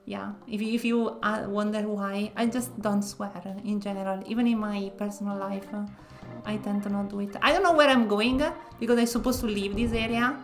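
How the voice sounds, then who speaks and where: A woman from northern Italy with an Italian accent, speaking English as a second language, chatting casually while streaming a game and often pausing to search for words. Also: medium pitch, steady tone, faint music behind. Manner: soft-spoken, searching for words with many pauses. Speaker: variety streamer. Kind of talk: casual gameplay commentary.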